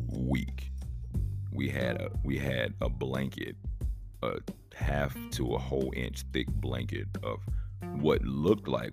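A man in his thirties with an Oklahoma accent, hosting a casual solo podcast in a rambling, profane, laid-back way. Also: creaky. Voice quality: deep voice. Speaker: podcast host.

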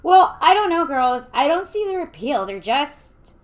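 A woman's voice: high voice, sing-song, a little slow, voice pushed up. A woman voicing an earnest, slightly prim, hesitant teenage girl in audiobook dialogue. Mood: hesitant, disdainful.

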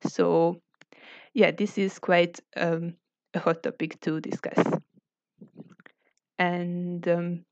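A woman's voice: fairly low pitch, level tone, slow pace, pauses often, darkish tone, dull audio, a little hiss or hum. A woman from Hungary hosting a casual online group discussion, speaking hesitantly with many filler sounds. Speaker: discussion host.

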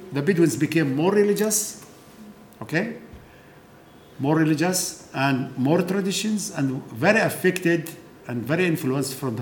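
A fairly high-voiced man, speaking earnestly in a lecture and acting out past conversations. His voice bounces around an echoey hall. A faint hiss sits under it. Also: darkish tone. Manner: earnest, re-enacts dialogues, frequent "okay?" tags. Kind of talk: lecture Q&A answer. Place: lecture room.

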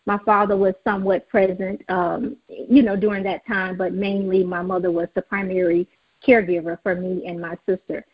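Southern-accented woman, interview guest, earnest testimony, husky edge, lo-fi audio. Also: on the low side, little pitch movement, moderate pace. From Mississippi. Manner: earnest, faith-filled, with frequent fillers. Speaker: guest, nonprofit founder.

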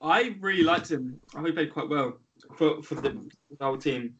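A man's voice: on the high side, moderate pace, fairly bright tone, highs cut off, slight background noise. A young man co-hosting a casual podcast, mostly chipping in with brief, teasing remarks. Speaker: podcast co-host. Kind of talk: casual chat. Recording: voice set back from the mic.

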